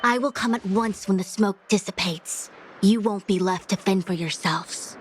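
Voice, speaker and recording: An actress playing a dutiful, authoritative master diviner in a video game, with formal, measured, commanding speech. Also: female voice, mid-range voice, slight background noise, lightly acted. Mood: assured. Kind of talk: dramatic English-language video game voice acting.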